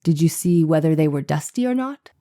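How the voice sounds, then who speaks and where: Woman as a mellow, low-voiced probing defense attorney. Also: lively intonation, brisk. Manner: probing, pointed questioning. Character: persistent, skeptical male defense attorney cross-examining a witness. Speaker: actor reading a defense attorney's part. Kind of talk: dramatized courtroom transcript reading.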